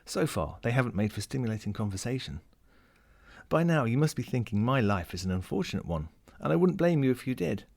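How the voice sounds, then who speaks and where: A man's voice: mid-range voice, sing-song, a little fast, gravelly, a little mellow. A man voicing a lonely, wry lost ring as he reads a first-person short story in a dramatic, melancholic way. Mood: indignant, wry. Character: lonely, world-weary, wry sentient ring lost at sea.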